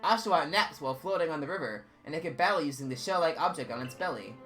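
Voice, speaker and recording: A man voicing a fussy, excitable male scholar who speaks in polite, old-fashioned phrases and swings high with alarm. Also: quick pace, over music, lightly acted. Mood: explaining.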